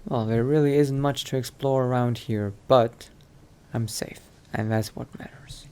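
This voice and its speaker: A man's voice: mid-range voice, steady tone, measured pace, darkish tone, a little hiss or hum, lightly acted. A man playing a cautious vampire adventurer in an improvised game roleplay, musing hesitantly to himself. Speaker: roleplay let's-play player. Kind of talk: in-character improvised roleplay monologue.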